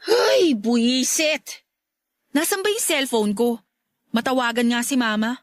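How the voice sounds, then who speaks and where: A young wife in a radio drama, worn down and exasperated. Her high voice scolds and grumbles, yet stays protective underneath. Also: expressive, unhurried, dry recording. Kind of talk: Tagalog radio drama acting.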